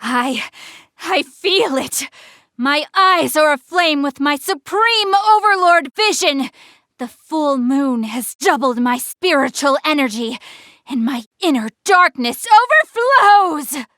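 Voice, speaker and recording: Casting: proud young anime game goddess, easily embarrassed, high voice, swooping intonation. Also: female voice, slow pace, no echo, studio quality, exaggerated voice. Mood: theatrical, grandiose. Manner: expressive, easily embarrassed, hesitant then insistent. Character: flustered, proud young goddess girl practicing voice acting. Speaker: video game character. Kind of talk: English anime game voice acting.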